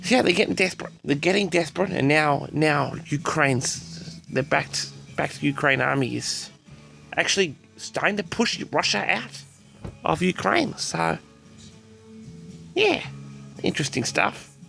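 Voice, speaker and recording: Creaky-voiced man rambling through an audio log. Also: a little high, lively intonation, measured pace, pushed and bright, faint music behind. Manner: hesitant, repetitive, often addresses listeners directly. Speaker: host of a personal audio log. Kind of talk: rambling casual monologue to audience.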